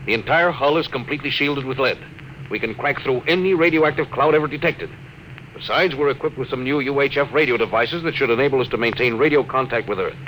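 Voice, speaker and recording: Man playing a gruff, bright-voiced spaceship captain. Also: medium pitch, lightly acted. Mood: confident, dismissive. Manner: brisk, authoritative, clipped. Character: weary, gruff, determined veteran spaceship captain. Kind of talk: old-time radio drama acting. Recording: noisy vintage radio drama recording.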